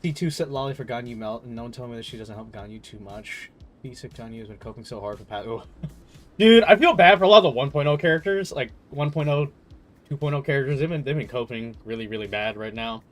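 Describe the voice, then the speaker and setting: A man's voice: mid-range voice, lively intonation, fast, a little hiss or hum. A man streaming a game, chatting casually and rambling through his thoughts in slangy, stop-start talk with some swearing. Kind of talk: casual livestream chat, rambling gaming commentary. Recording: music playing behind the voice.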